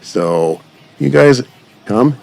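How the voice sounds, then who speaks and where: A man's voice: mid-range voice, animated, drawn-out words, vocal fry, a little mellow. A man giving casual, unscripted commentary as host of a gaming channel, rambling along in long run-on sentences. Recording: music over the voice. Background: music.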